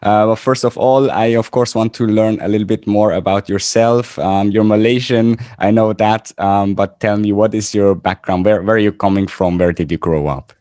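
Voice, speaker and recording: A man hosts a casual interview and asks his questions haltingly, full of "uh" and restarts. His voice sits low. The sound is dry and close. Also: steady tone, dark tone, no echo. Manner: halting, with many fillers and restarts. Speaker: podcast host.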